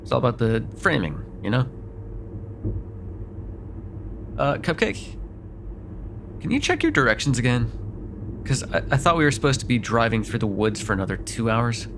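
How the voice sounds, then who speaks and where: A man's voice: medium pitch, sing-song, fairly quick, gravelly. Man acting a sardonic vampire over noisy car ambience. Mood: wry, defensive. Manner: hesitant, wry, sweary, conversational, with sighs. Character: sardonic, grudgingly apologetic male vampire on a road trip. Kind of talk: immersive ASMR roleplay acting, one side of a dialogue.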